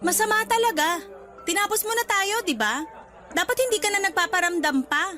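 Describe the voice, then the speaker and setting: A woman's voice: very high voice, animated, brisk. A woman acting a hurt, defiant young woman still in love, confrontational and emotional, in a radio romance drama. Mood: hurt, accusing. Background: background music.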